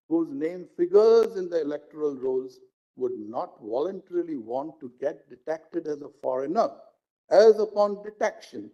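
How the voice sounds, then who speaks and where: A man with an Indian accent, a judge reading out a legal judgment in formal, deliberate phrasing. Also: a little high, warm tone. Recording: dull lo-fi live stream audio.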